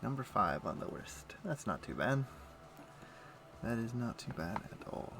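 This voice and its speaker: A man's voice: medium pitch, unhurried, creaky. A man livestreaming a game, chatting casually and muttering to himself as he plays. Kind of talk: casual gaming stream chat. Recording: steady background noise.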